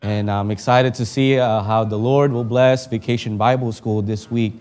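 A young man from the Philippines preaching a sermon, reading scripture and praying in an earnest, heartfelt way that rises for emphasis and drops soft in prayer. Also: lowish voice, steady tone, moderate pace. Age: young adult.

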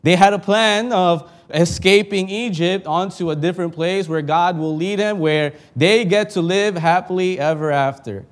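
A young man from the Philippines preaching a sermon, reading scripture and praying in an earnest, heartfelt way that rises for emphasis and drops soft in prayer. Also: high voice, normal speed, hardly any pauses. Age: young adult.

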